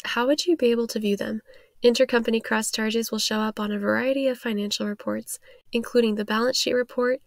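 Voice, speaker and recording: Higher-pitched woman narrating a scripted tutorial. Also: vocal fry, no room sound, clean studio sound.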